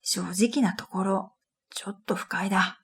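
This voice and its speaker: A woman's voice: mid-range voice, fairly even intonation, measured pace, slight background noise. A woman narrating a scripted first-person story for a radio drama in an introspective, matter-of-fact manner. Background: music.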